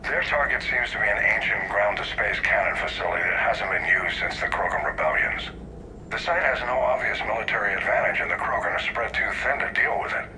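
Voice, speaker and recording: A man's voice: lowish voice, animated, fairly quick, background noise, natural voice. An actor playing a stern older male fleet admiral who gives clipped, commanding orders over the radio.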